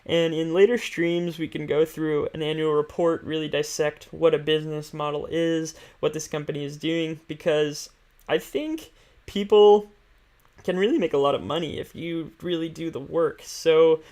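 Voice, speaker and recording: A man's voice: a little high, fairly even intonation, normal speed, a little mellow. A man hosting an investing stream, explaining finances casually and without a script, in an informal, hesitant, think-aloud way.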